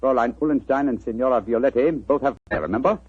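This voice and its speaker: A British actor playing a shrewd, commanding gentleman detective in a 1940s radio drama, with an urbane, clipped delivery. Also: medium pitch, fast, slightly rough, lightly acted. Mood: intent. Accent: British English. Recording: dull 1940s radio recording.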